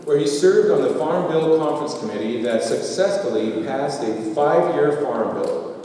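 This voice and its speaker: A man's voice: mid-range voice, dark tone, a little hiss or hum. A man reading a formal introduction of a speaker on stage, with a few light asides. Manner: reads a bio with light asides. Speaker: event emcee introducing the guest. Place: large auditorium stage. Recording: echoey hall from far off.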